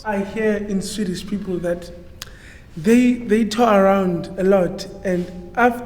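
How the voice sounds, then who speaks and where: A woman's voice: mid-range voice, slow, darkish tone. 17 yr old south african schoolgirl, kinda hesitant, hall reverb. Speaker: high school student. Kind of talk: casual group discussion.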